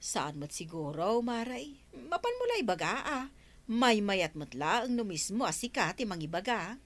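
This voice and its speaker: An actress with an Ilocano accent playing a sassy, chiding village woman in a radio comedy drama, expressive in delivery. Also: medium pitch, a little slow. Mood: wry. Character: sassy village woman, teasing and scolding debtors.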